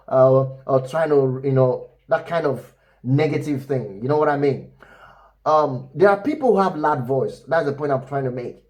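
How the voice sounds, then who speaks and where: A man with a loud, full voice that sits fairly high for a man. He gives relationship advice in an emphatic, repetitive way and keeps checking that the listener is following. His delivery is unscripted and direct. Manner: emphatic and repetitive, with frequent "you know" and "all right?" check-ins. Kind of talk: unscripted advice talk to camera.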